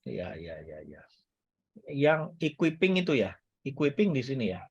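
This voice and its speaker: A man's voice: mid-range voice, big pitch swings, unhurried, a little mellow, breathy edge, dull audio. A man preaching in a casual, chatty way, joking with his listeners and mixing in English phrases. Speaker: guest speaker. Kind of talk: casual teaching sermon. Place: church service or online meeting.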